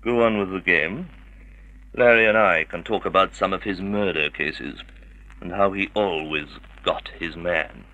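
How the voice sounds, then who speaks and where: A jealous, menacing man with a veneer of sociable charm. The voice is low but bright and forceful, with a sardonic edge. It sounds like a dull old radio drama recording. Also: a little slow, exaggerated voice. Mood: falsely jovial. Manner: forceful, sardonic, falsely jovial. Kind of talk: vintage radio drama acting.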